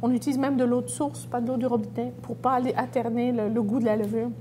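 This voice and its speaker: A woman's voice: on the high side, little pitch movement, fast, warm tone, slight background noise. A middle-aged woman from Belgium giving conversational answers as an entrepreneur in an interview. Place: restaurant.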